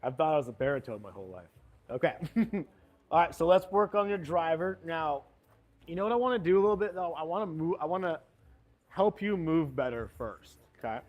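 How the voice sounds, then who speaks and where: Upbeat higher-voiced male golf coach. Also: expressive, fairly quick. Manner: upbeat, coaching with short cues and encouragement. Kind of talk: hands-on golf lesson instruction.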